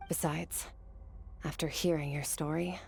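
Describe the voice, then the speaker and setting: A woman playing a stoic, resolute young swordswoman in a dramatic video game, composed, measured and serious. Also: deep voice, steady tone, vocal fry, a little mellow, slight background noise.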